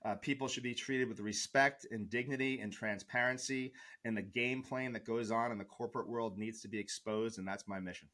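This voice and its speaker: A man's voice: medium pitch, steady tone, a little fast, few pauses, pushed and bright. A man interviewed as a guest expert who speaks passionately and argumentatively, with many fillers. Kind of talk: interview answers.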